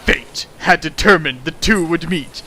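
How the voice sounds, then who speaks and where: A man's voice: on the high side, unhurried, bright, forceful tone. A man doing a mocking dramatic reading of fan fiction with sarcastic, hammy asides, recorded at home with hiss.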